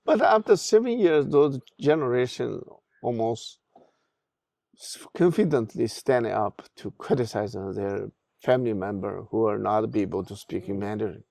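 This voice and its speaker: A middle-aged man with a Tibetan accent, whose first language is Tibetan, speaks as a podcast guest, answering haltingly with many restarts and fillers. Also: lowish voice, expressive, gravelly, warm tone, dry recording. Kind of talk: interview answers.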